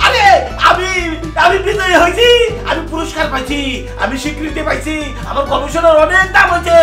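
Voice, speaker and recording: A man with a Bangladeshi accent playing a boastful, eccentric scientist uncle in a comic cartoon, excitedly repeating his words. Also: extremely high pitch, a little fast. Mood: gloating. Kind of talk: comic children's cartoon acting. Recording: echoey, distant voice with faint music.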